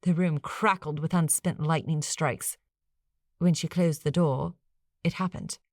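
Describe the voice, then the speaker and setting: Woman, audiobook narrator, lower-pitched voice, dry close sound, scripted storytelling. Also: steady tone, measured pace, no echo.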